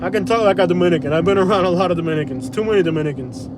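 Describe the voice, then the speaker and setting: High-voiced man ranting from his car. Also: rapid-fire, background noise. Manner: blunt, slangy, opinionated. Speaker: vlogger. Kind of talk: live-stream rant while driving. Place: car at night.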